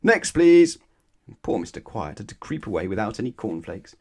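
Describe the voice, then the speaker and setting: A man's voice: sits low, fast, dark tone, husky. A man narrating a children's bedtime story expressively, putting questions to his young listeners. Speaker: storybook reader.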